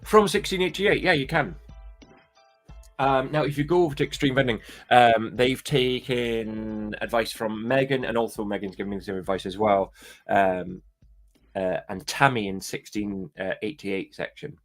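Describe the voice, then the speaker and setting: A man's voice: lowish voice, normal speed, faint music behind. A man with a light Scottish accent chatting casually to his viewers as a vlogger, rambling and hesitant with many restarts. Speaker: toy and claw machine vlogger.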